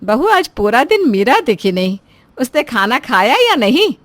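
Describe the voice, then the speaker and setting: A woman's voice: fairly high pitch, very animated, unhurried, a bit bright, no room sound, voice pushed up. A woman acts a middle-aged mother-in-law in a storybook drama. She is concerned and matronly, watchful over the family. The sound is close and dry. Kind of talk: moral story voice acting.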